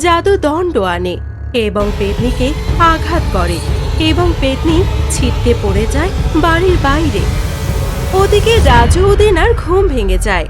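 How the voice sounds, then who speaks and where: A woman storyteller with a high voice and lively, swinging intonation. She reads scripted cartoon narration over a clearly audible music bed. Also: a little slow.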